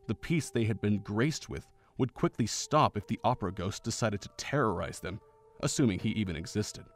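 A man narrating a fan audio drama in a steady storytelling way. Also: fairly low pitch.

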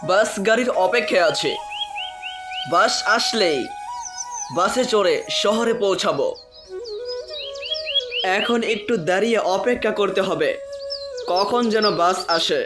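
A man voicing a devoted, sentimental young village son in a cartoon story, earnest and measured, with music behind him. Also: high voice, animated. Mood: wistful. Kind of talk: first-person cartoon story narration and dialogue.

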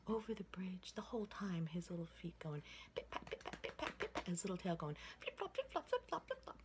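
A woman in her sixties telling a children's story in an expressive, playful, sing-song way. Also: medium pitch. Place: indoors, room with wooden ceiling. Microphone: phone at arm's length. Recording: noisy home recording with room ambience.

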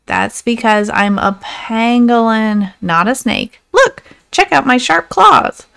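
Woman voicing an insistent, earnest pangolin, high-pitched. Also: animated, takes it slowly, vocal fry, a bit bright, clean tone, heavily acted. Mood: patient, insistent. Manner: insistent, correcting. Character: exasperated, earnest pangolin trying to explain himself.

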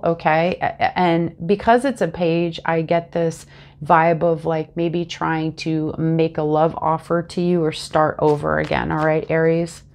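A woman in her thirties, a bit congested and sniffly, chatting casually through a tarot reading to camera on a lapel mic in a small room at home. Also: lowish voice. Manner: chatty and animated, talks with her hands. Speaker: tarot reader.

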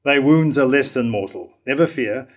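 A man's voice: mid-range voice, moderate pace, close to an everyday voice. Man reading as an older noble warrior, courteous. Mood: reassuring. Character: courteous, noble male warrior comforting a wounded foe. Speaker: actor in a staged reading. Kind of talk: formal verse drama reading. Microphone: stand mic at lectern.

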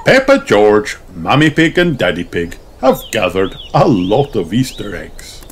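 Slow, very animated man reading a storybook. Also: on the low side, a little hiss or hum. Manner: animated, deliberate, child-directed delivery. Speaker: children's book reader. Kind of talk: expressive read-aloud storybook narration. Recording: music behind the voice. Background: music.

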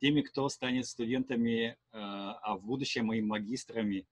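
A middle-aged man interviewing a guest over a video call in a formal, measured way. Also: medium pitch, fairly even intonation, no echo.

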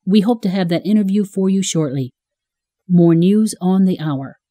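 A woman playing a dark-toned, dry newsreader. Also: on the low side, normal speed, no room sound, lightly acted. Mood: formal. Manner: formal, even newsreader delivery. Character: female future news anchor, crisp and formal. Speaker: newscaster. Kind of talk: broadcast news reading in a radio drama.

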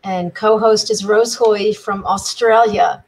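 A woman's voice: medium pitch, steady tone, slow pace, poor audio. Host, woman in her sixties, welcoming, opens the show on a video call. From Israel. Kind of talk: hosting a video interview.

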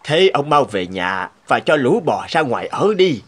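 A man voicing a calm, wry old village sage in a folktale cartoon, slow and measured. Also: mid-range voice. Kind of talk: animated folktale cartoon dubbing.

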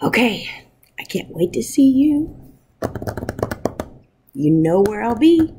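A woman in her thirties, a first grade teacher, talking informally to young pupils and reading aloud in an encouraging, expressive way. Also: fairly high pitch, takes it slowly, breathy edge. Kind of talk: warm informal message to pupils and picture-book read-aloud. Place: wood-ceilinged room at home, indoors. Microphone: webcam at arm's length.

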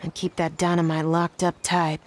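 A woman's voice: fairly low pitch, completely flat delivery, normal speed, background noise, lightly acted. An actress playing a determined frontier rancher woman in a western video game, firm and wry. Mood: confident, wry. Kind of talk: dramatic video game acting. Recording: music behind the voice.

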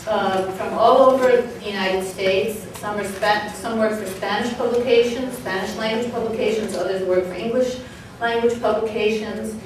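A woman's voice: medium pitch, distant mic, noisy background. A woman in her forties giving opening remarks at a podium, reading from notes with frequent ums and uhs. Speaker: event organizer opening a panel. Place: conference room with banner. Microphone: podium mic on a stand, recorded by a far camera. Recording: echoey room, voice from afar.

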